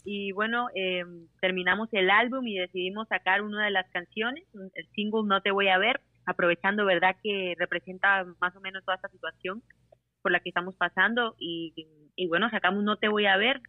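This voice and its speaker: A woman raised in Costa Rica and Panama talks about her music over a phone line, cheerful and quick to laugh. The sound is tinny and narrow, with no room around it. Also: medium pitch, steady tone, no room sound. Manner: laughs often, warm and upbeat. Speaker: singer-songwriter guest.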